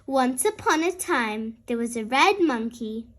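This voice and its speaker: A woman voicing a kindly, patient mother pig who explains gently in a children's storybook read-aloud. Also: sits high, lively intonation, unhurried.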